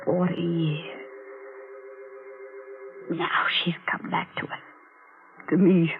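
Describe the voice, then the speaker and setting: Slow, haunted old woman, tinny radio. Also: lowish voice, level tone, vocal fry, music in the background. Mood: haunted, brooding. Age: older. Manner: halting, fearful, repetitive. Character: frail, haunted old woman, fearful and tormented. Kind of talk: 1940s radio acting. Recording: noisy, narrow-band 1940s radio recording.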